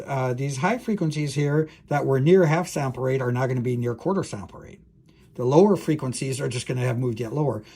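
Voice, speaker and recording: A male university lecturer with a creaky, husky voice talks through material informally. He is halting and thinks aloud, working step by step through an unscripted explanation. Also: medium pitch, a little mellow.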